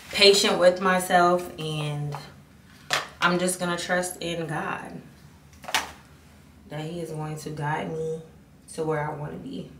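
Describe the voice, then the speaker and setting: Young female vlogger, candid and rambling, noisy home recording. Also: low-pitched. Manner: candid, rambling, restarts often. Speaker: beauty vlogger. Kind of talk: casual chat to camera. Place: bathroom at home, indoors. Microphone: camera mic at a distance. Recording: echoey bathroom, distant mic, background noise.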